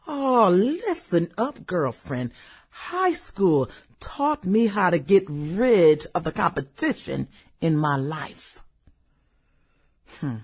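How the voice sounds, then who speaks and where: Radio drama part for an actress: haughty, sneering ex-cheerleader villainess, deep female voice, unhurried. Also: sing-song, husky, heavily acted. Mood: boastful. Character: arrogant, ruthless adult former cheerleader, cold mean-girl killer.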